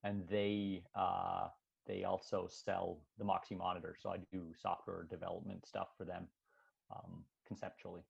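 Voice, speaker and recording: A low, gravelly man's voice, heard on a slightly dull, lo-fi recording. He is a guest on a podcast, in his thirties, talking through technical ideas casually, thinking aloud with frequent fillers and restarts. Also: animated, moderate pace. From Switzerland, raised in Canada. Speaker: podcast guest, sports scientist. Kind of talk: casual interview explaining science.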